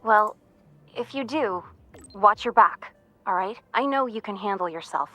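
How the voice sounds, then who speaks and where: A woman's voice: medium pitch, measured pace, bright, forceful tone, faint music behind. An actress playing a breezy, loyal young woman student in a video game, with casual, slangy, teasing speech. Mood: cautioning, caring.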